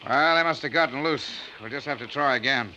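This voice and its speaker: An actor playing a practical, confident shipmate on a treasure hunt, speaking in a matter-of-fact 1940s radio style. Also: male voice, medium pitch, brisk, pushed and bright, muffled recording. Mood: practical, concerned. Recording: noisy old radio recording.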